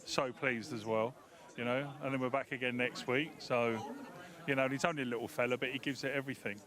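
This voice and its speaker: Amid clear crowd noise, a man of medium pitch gives a casual interview. He talks as an owner after a race. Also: fairly even intonation, a little fast. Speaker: dog owner being interviewed. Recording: crowd noise behind, outdoors.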